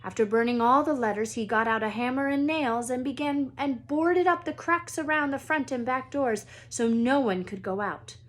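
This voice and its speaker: Husky woman reading a story aloud. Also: sits high, normal speed, hardly any pauses. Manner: dramatic, drops to hushed tones for suspense. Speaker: read-aloud storyteller, teacher. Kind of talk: expressive children's book narration.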